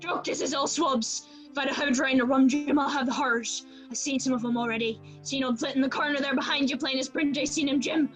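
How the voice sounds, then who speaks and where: A woman playing a rough, fearful old male sea captain who craves rum, in a radio play with sailor dialect. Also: high-pitched, fairly even intonation, brisk, hardly any pauses, music in the background, close to an everyday voice, poor audio. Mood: pleading.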